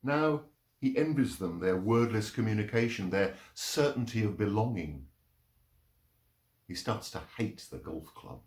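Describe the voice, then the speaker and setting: A man reading poetry and short stories aloud in a measured, expressive storytelling delivery. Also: fairly low pitch. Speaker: reader of poems and short stories. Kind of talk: literary reading aloud, performed narration. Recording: roomy, echoing sound.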